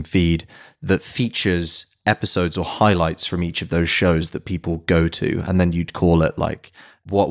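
Deep-voiced male podcast interviewer. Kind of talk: conversational interviewing.

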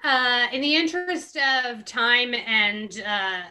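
A woman's voice: fairly high pitch, animated, takes it slowly, pushed and bright. A woman guest journalist casually reading aloud from a book and adding her own comments.

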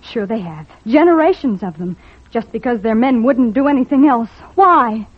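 A woman's voice: a little high, animated, normal speed, breathy edge. An actress playing a firm, earnest young woman in old-time radio drama style. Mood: bitter, earnest. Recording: muffled old radio recording.

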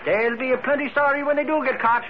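An actor playing a brash, excitable, sarcastic police-beat reporter, slangy and emphatic, in 1940s radio style. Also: male voice, very high voice, fast. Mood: confident. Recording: muffled, noisy old radio recording.

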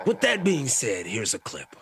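Gravelly, loud older man podcaster. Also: medium pitch, huge pitch swings, slow. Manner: loud, profane, stammering restarts. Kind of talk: casual commentary reacting to clips.

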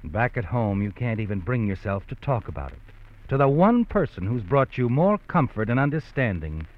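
An actor playing an ominous, knowing narrator of an old radio crime drama, dramatically addressing the hero directly. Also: male voice, mid-range voice, big pitch swings, normal speed, natural voice. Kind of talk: 1940s-50s radio suspense narration. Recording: muffled old radio recording with music.

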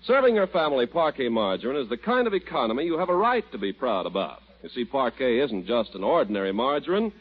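A male radio announcer reading a commercial in a smooth sales pitch, on a muffled old broadcast. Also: big pitch swings, quick pace, hardly any pauses.

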